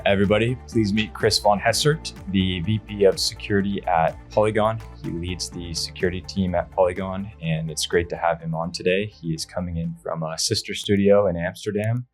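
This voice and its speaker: A man's voice: low voice, fairly even intonation, a little fast, hardly any pauses, soft background music. A man hosting a podcast interview who introduces his guest and asks questions in an upbeat, casual way. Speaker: podcast host.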